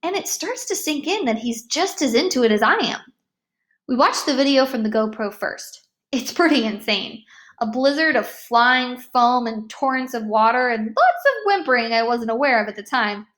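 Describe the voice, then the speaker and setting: A woman reading a children's novel aloud as an expressive first-person storyteller. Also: on the high side, moderate pace. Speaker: read-aloud narrator.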